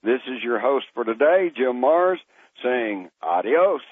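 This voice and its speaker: A man hosting a radio interview, asking questions in a relaxed, conversational way. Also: mid-range voice, takes it slowly, slight background noise. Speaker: radio host. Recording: phone-line radio audio.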